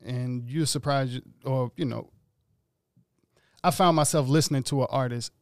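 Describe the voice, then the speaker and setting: Casting: relaxed, slangy male musician, gravelly edge, close dry sound. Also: mid-range voice, normal speed, no echo. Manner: laid-back, rambling, slangy. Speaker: musician guest. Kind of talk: casual interview talk.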